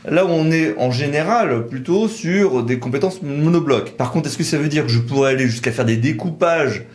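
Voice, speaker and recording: Man methodically explaining legal nuances. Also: a little high. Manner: measured, methodical, nuanced reasoning. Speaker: lawyer presenting a legal explainer. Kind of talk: conversational legal explainer in tandem.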